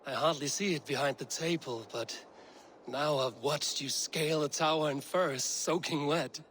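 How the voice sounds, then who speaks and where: An actor playing a guarded, wry Viking warrior in a video game, with a dry, restrained delivery. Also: male voice, fairly high pitch, moderate pace, a bit bright, a little hiss or hum, natural voice. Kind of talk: video game dramatic acting.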